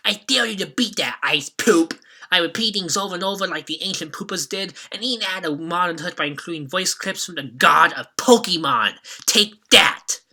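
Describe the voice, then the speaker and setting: A man's voice: high voice, normal speed, bright, forceful tone. A man hosting a game retrospective, giving scripted commentary with casual asides in a jokey, mock-dramatic manner.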